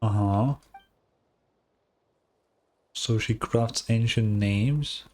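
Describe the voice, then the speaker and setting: A woman's voice: a bass voice, drawn-out words, a little mellow. A woman streamer giving casual, offhand and blunt live commentary while reacting to a game.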